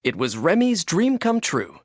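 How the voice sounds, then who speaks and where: Male narrator for kids' read-along book, animated delivery with wide pitch movement, dry recording. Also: measured pace, no echo. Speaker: storybook narrator.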